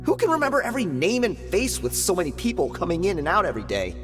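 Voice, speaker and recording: A cowardly stammering bandit. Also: male voice, high voice, wildly swooping pitch, fast talker. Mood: nervous. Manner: nervous, stammering, defensive. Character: cowardly male bandit guard, evasive and whiny. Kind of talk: video game voice acting. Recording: game audio with reverb and music.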